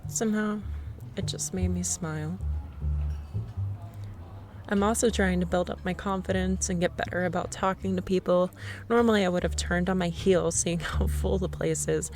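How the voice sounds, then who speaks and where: A woman's voice: on the low side, fairly even intonation, moderate pace, darkish tone, faint music behind. Shy, rambling young woman. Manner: shy, nervous, rambling and self-deprecating. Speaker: ASMR roleplay performer. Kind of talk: scripted ASMR roleplay monologue.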